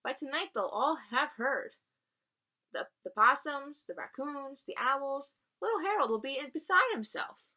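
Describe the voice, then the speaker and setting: A woman voicing a wise old female oak tree in a storybook, reassuring and gently joking. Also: sits high, expressive, a little slow, voice pushed up, heavily acted. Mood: fretting.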